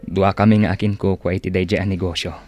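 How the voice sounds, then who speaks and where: Earnest young shopkeeper boyfriend, male actor, radio drama: lowish voice, gentle, sincere. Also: flat delivery, fast talker, soft background music, close to an everyday voice. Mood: earnest, apologetic. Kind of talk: Ilocano radio drama acting.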